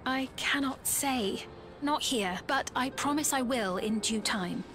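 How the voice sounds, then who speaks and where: An actress playing a gracious, composed queen in a video game, speaking in a measured, formal manner. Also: high-pitched. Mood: gentle, guarded. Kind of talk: scripted video game cutscene acting. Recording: orchestral game music behind.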